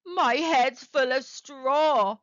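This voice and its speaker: A woman voicing a gloomy, complaining male scarecrow in a storybook, slowly and plaintively. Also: high voice, a bit bright, dry recording, muffled recording, exaggerated voice.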